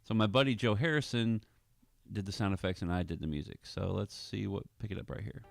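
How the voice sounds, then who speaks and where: Low-voiced man thinking aloud, slightly gravelly. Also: brisk. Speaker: audio drama creator. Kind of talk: casual walkthrough of editing. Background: film score music.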